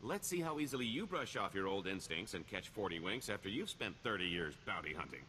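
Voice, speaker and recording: Boastful middle-aged scoundrel, low voice, swooping intonation, sly video game sarcasm, background noise. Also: male voice, very animated, fairly quick, bright, forceful tone. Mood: wry, weary. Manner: wry, wheedling, theatrical sarcasm. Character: roguish male career criminal, wry, boastful, scheming veteran.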